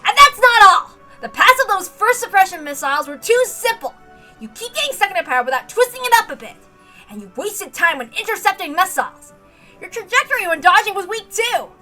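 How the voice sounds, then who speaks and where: A woman's voice: extremely high pitch, very animated, a little fast, sharp tone, soft background music, pitched above the performer's own voice, full character voice, rough recording. A woman voicing a short, hot-headed young male fighter who boasts and scolds emphatically in an audiobook-style drama reading. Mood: scolding, lecturing.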